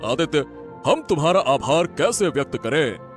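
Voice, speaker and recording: A man's voice: on the high side, very animated, moderate pace, soft background music. A man voicing a trusting, regal king who speaks earnestly in a moral-story cartoon. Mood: grateful, kindly. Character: trusting, grateful fairy-tale king. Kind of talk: animated Hindi moral-story dialogue.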